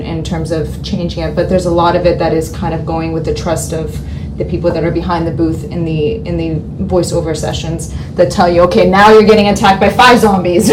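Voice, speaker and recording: A woman from Canada with an American accent, an actor explaining things at length in a casual interview. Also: on the low side. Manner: thoughtful, long explaining sentences. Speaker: actor guest. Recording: noisy recording.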